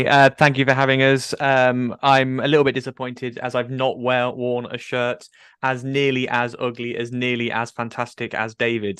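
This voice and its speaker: A man's voice: medium pitch, fairly bright tone, clean tone, no room sound, clean studio sound. A man giving an upbeat, self-deprecating webinar presentation. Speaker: customer success presenter.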